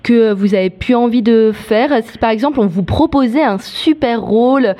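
A woman interviewer putting questions in a hesitant, halting way. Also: fairly high pitch, mellow.

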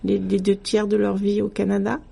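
A middle-aged woman from France, a radio guest talking in a relaxed, thoughtful way with many hesitations and restarts. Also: medium pitch, moderate pace, creaky, breathy edge. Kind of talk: casual conversational interview.

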